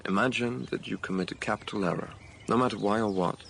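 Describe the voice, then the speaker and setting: An actor playing a commanding, brooding gentleman with a dark secret in a period radio drama, speaking tersely. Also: on the low side, quick pace, creaky, a little hiss or hum. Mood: confiding, troubled.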